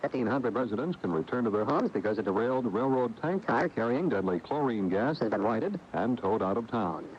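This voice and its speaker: A man's voice: mid-range voice, animated, brisk, hardly any pauses, a little hiss or hum. An American man reading scripted news as a radio reporter. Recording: dull 1980 AM radio tape.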